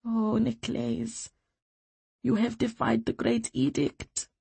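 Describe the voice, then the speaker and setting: Woman narrator as bold, husky protective woman. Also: medium pitch, normal speed, darkish tone, no room sound. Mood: shocked, hushed. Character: bold, protective woman defying armed soldiers.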